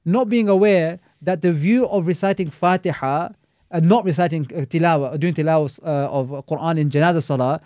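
Fast-talking man, mellow voice. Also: on the high side, no echo, studio quality. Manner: fast, critical, peppered with Arabic religious terms. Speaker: Islamic scholar and teacher. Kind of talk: casual podcast discussion.

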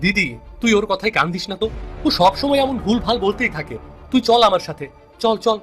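A man's voice: high voice, lively intonation, a little fast. A man voicing a protective, exasperated younger brother in a cartoon family drama, speaking emphatically. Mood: soothing. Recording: loud music under the voice.

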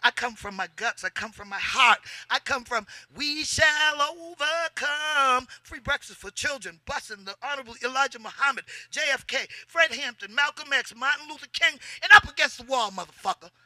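Forceful, high-voiced woman performing spoken word. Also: expressive, moderate pace, few pauses, no echo. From United States, Boston. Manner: theatrical, declamatory, with chanted refrains and dramatic swells. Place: outdoor poetry event at a podium in a cemetery. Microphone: handheld microphone held close.

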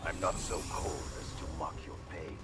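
A man playing a stoic, stern ninja clan leader in a fighting game, deep and gravelly. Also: fairly even intonation, normal speed. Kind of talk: fighting game character dialogue. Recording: loud game music behind.

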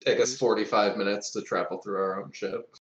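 A man's voice: on the low side, fairly even intonation, fairly quick, lo-fi sound. A man in casual banter as a tabletop game player, joking and swearing freely. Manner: profane, joking.

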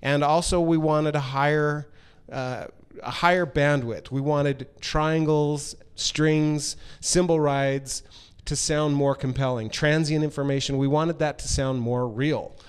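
A middle-aged man giving an informal product presentation as a company presenter, halting and pausing mid-phrase as he explains the design, speaking into a handheld microphone. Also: on the high side, steady tone, smooth. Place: large hard-walled workshop room, indoors. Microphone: handheld microphone held close.

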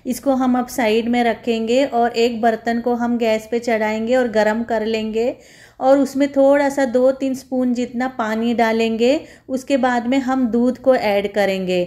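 A woman's voice: fairly high pitch, fairly even intonation, measured pace, a little mellow. A woman home cook giving a step-by-step recipe voice-over, instructing viewers in a friendly, familiar form of address.

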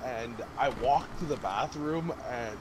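A man's voice: medium pitch, takes it slowly. A man from Scotland chatting casually while gaming, sweary and repetitive as he rambles through stories, with game sounds behind him. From Edinburgh, Scotland. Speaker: game streamer. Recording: game noise behind voice chat. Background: shooter game sounds.

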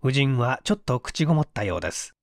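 Expressive male mystery narrator, lively intonation. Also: mid-range voice, fast talker, vocal fry, no room sound, studio quality. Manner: expressive, literary reading. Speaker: audiobook narrator, stage actor. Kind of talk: scripted mystery story narration.

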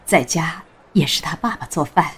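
A woman playing a kindly, protective middle-aged mother in an audio drama, speaking in a husky, coaxing, motherly way. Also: low voice, animated, moderate pace, a bit bright, full character voice. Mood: welcoming. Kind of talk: Mandarin audio drama acting.

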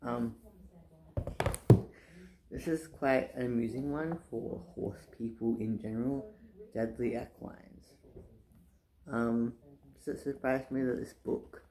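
A soft-spoken young man rambling casually in his living room, with many fillers and restarts. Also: medium pitch, steady tone, slow pace, pauses often, background noise. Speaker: booktuber. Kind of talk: casual chat. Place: living room, indoors, sitting on couch.

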